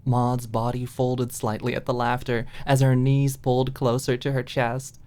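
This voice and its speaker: Low-voiced woman narrating an audiobook. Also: little pitch movement, normal speed, a little mellow. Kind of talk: scripted ASMR audiobook narration.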